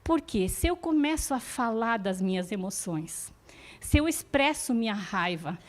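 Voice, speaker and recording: Higher-voiced woman teaching warmly. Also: lively intonation, unhurried. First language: not Portuguese. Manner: earnest, encouraging, often adds "né?". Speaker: support group teacher. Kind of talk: church teaching talk.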